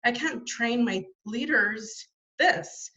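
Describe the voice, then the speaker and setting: A woman's voice: a little high, slow, bright, forceful tone, muffled recording. A woman guest telling her story in a chatty, rambling way, full of restarts and fillers, heard over a video call. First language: not French. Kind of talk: casual interview storytelling.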